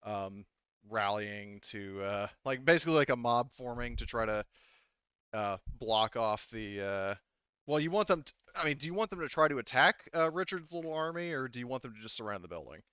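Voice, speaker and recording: A man casually narrating a tabletop game as game master, halting and thinking aloud as he goes. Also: mid-range voice, expressive, pushed and bright, clean tone, no room sound, lo-fi sound.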